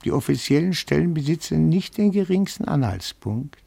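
Pedantic, creaky-voiced little amateur detective. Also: male voice, on the high side, lively intonation, moderate pace, warm tone, heavily acted. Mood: matter-of-fact. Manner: formal, meticulous, deferential, swelling into rapturous declamation. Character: timid but dogged, pedantic petit-bourgeois amateur detective. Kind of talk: German radio play acting.

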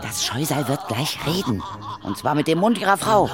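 A man playing a brisk, confident female ghost hunter in a children's radio play, husky and authoritative, with a dry explanatory manner. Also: on the high side, big pitch swings, music in the background. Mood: excited, assured.

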